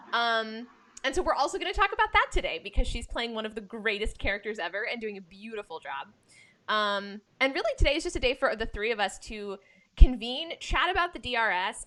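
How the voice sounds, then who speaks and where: A woman's voice: mid-range voice, pushed and bright. A woman from the United States hosting an arts podcast in a casual, enthusiastic and effusive chatting style. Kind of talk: casual chat, introducing guests.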